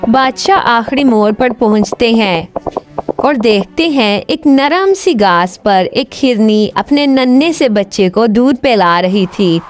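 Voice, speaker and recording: A woman's voice: a little high, expressive, slow pace. A woman storyteller reading a scripted children's tale as narrator.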